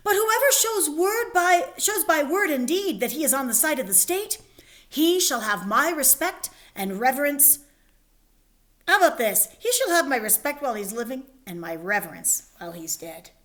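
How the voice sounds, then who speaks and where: A woman's voice: sits high, sing-song, moderate pace, a bit bright. A woman reading the part of a stern male king who hands down commands in a formal, proclaiming way. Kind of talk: dramatic reading of a play.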